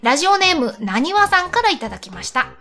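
High-voiced, lively woman radio host. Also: fast, soft background music. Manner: polite, chatty. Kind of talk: casual radio chat, reading listener mail.

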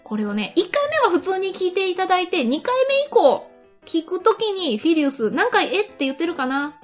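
A woman's voice: very high voice, very animated, fairly quick, soft background music. A woman hosting a casual radio chat about an audio drama, speaking in a conversational way. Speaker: radio show host.

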